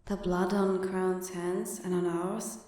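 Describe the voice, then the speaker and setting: A woman voicing a grim, solemn bird commander in a comic dub, deliberate, through a heavy echo. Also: on the low side, little pitch movement, a little mellow. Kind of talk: dramatic fan-dub comic acting. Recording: very echoey, distant-sounding.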